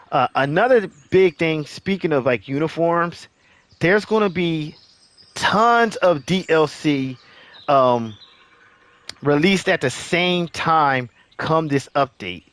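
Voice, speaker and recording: A man hosting a gaming channel, giving casual update commentary in a halting way, repeating phrases as he addresses viewers encouragingly. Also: on the high side, a bit bright, no room sound.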